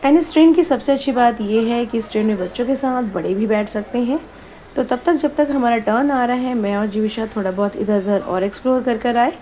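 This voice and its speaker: A woman narrates her vlog in a high voice. She is chatty and casual, mixing in English phrases, and there is clear background noise behind her. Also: brisk, dark tone. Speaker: vlogger.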